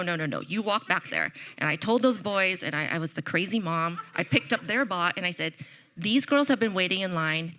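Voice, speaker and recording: A middle-aged woman on a conference panel telling a passionate, animated story about coaching. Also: mid-range voice.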